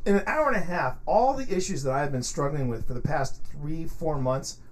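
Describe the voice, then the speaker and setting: A man's voice: fairly high pitch, expressive, a little fast. A man chatting casually as a host, rambling and repeating phrases for emphasis. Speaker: podcast host and game streamer. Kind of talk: casual chat.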